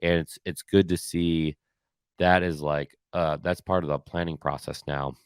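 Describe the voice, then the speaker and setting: A man hosting a podcast alone, slightly hoarse and raspy, talking casually with many fillers and restarts. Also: deep voice, steady tone, measured pace, no echo, studio quality. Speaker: podcast host. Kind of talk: solo casual year-in-review talk.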